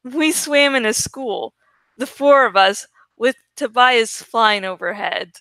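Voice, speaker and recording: A woman's voice: fairly high pitch, slow, pushed and bright, no room sound. A woman reading a first-person story aloud expressively, drawing out words for dramatic emphasis. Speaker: amateur audiobook reader.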